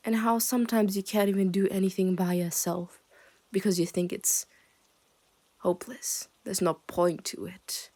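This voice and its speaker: A woman's voice: medium pitch, steady tone, moderate pace, dark tone, lightly acted. A woman playing a possessive, smug yandere kidnapper in an intimate ASMR roleplay, teasing and condescending as she often dips into whispers. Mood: cold pity.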